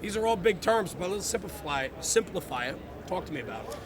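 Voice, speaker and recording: A man's voice: high-pitched, big pitch swings, fast talker. A man hosting a casual live interview at a trade show, enthusiastic and emphatic. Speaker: interviewer, host. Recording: busy crowd behind voice.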